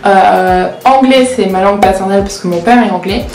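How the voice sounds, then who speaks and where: A woman's voice: medium pitch, a little fast, a little mellow. A 19-year-old woman with Portuguese as her first language, chatting candidly in a casual vlog, self-mocking and often hesitating. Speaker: vlogger and law student. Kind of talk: casual confessional vlog chat. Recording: roomy echo.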